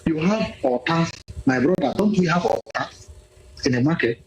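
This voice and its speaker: A man's voice: on the high side, lively intonation, moderate pace, a little hiss or hum, poor audio. A man making an impassioned, insistent speech, repeating his points for emphasis, heard as a played clip.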